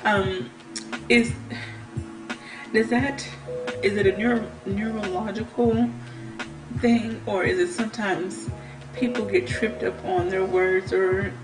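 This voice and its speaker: A woman's voice: mid-range voice, slow pace, long pauses, low-quality recording. Woman radio host asking questions, lots of "okay"s, music in the background. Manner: attentive, frequent short affirmations. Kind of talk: radio interview. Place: radio studio. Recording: radio studio with music behind.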